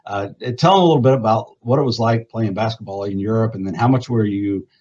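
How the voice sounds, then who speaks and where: A man's voice: lowish voice, fast, a little mellow, dull audio. A man hosting a live booster club interview, talkative and upbeat, introducing and questioning players.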